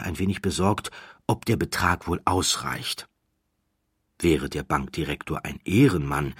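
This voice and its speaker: Deep husky guy narrating an audiobook, dramatic storyteller style, really emphatic phrasing. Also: fairly bright tone, dry recording, clean studio sound. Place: dry studio.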